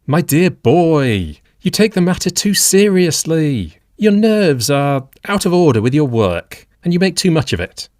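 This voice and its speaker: Audio drama: level-headed, avuncular Victorian friend played by a man, animated delivery, reasoning and doubtful, dry studio-like sound. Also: fairly high pitch, a little slow, hardly any pauses, no echo. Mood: sceptical reasoning. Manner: reasoning, expressive, formal period speech.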